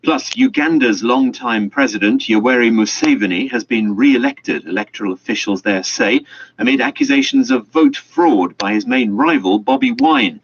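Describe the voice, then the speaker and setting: Lively-voiced male radio host. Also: medium pitch. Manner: brisk, lively interviewer. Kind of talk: radio news magazine presenting. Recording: dull lo-fi radio broadcast.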